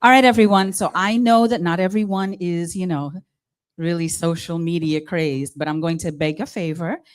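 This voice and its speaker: A woman's voice: fairly low pitch, normal speed, clear, resonant tone. A woman from Guyana with a Guyanese accent, hosting a live charity evening as mistress of ceremonies and coaxing the crowd. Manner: lively, coaxing the audience.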